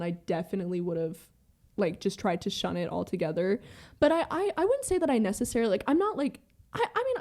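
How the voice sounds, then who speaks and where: Young woman rambling candidly, mellow voice. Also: medium pitch. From American with Iranian family. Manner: fast rambling talk, heavy use of "like" and swearing. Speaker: podcast guest. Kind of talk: candid casual conversation.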